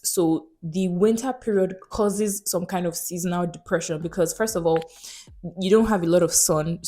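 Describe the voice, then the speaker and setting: A woman's voice: lowish voice, warm tone. A young Nigerian woman with a Nigerian accent, talking frankly and casually to camera in her vlog. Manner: chatty, frank, asks viewers rhetorical questions. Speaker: vlogger.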